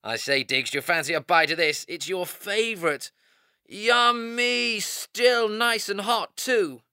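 A man's voice: high voice, measured pace, sharp tone, clean tone, no room sound, clean studio sound, voice pushed up, exaggerated voice. A man voicing a cheeky, jovial young male hare soldier. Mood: teasing. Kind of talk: audiobook character voice.

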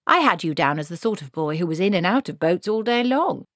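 A woman's voice: on the low side, very animated, a little fast, a bit bright, no room sound, clean studio sound. A woman voicing a brusque, stubborn old witch in a storybook, with blunt, folksy, commanding speech. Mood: dry, calm.

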